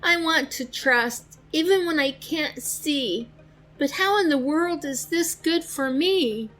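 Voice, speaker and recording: A woman's voice: high voice, slow pace, a bit bright, voice pushed up. A woman voicing a tiny, grumpy, reluctant male seed in a children's storybook, protesting emphatically in sing-song rhyme. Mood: worried, pleading. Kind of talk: children's storybook read-aloud.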